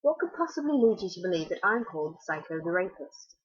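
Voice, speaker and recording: A prim, middle-aged male therapist, as a young woman reads him out spells out words slowly, like a schoolteacher correcting a child. The voice is low. The webcam sound is dull. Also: big pitch swings, slightly rough, exaggerated voice. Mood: condescending.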